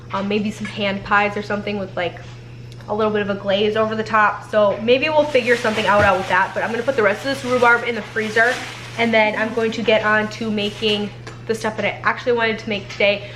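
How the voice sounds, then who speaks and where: A woman's voice: mid-range voice, fairly bright tone. A young woman vlogging casually from her home kitchen, upbeat and rambling, a little congested from a head cold. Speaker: homestead cooking vlogger. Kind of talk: casual kitchen vlog chat. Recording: echoey kitchen, faint room noise.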